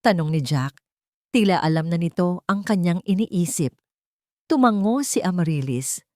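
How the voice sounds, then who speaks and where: A woman narrating a scripted dramatic love story in short, deliberate phrases. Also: on the low side, animated, darkish tone, dry recording, clean studio sound.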